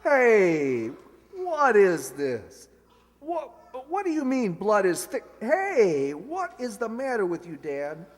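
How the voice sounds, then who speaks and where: A man's voice: high voice, wildly swooping pitch, takes it slowly, heavily acted. A man playing an earnest, flustered young son who stammers, in an old-time radio comedy. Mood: bewildered. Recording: echoey hall stage recording.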